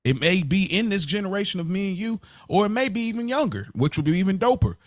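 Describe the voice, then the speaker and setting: Earnest man in halting podcast chat. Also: high voice, very animated, no echo. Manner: halting, repeats phrases for emphasis, earnest. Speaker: podcast co-host. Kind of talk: casual conversational podcast chat.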